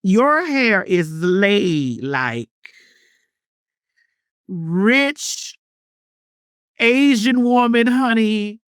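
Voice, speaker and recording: A woman's voice: mid-range voice, lively intonation, very slow, pauses often, no room sound, studio quality. A woman hosting a podcast in casual chat, sassy and repeating her words, calling her guest honey and girl. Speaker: podcast host.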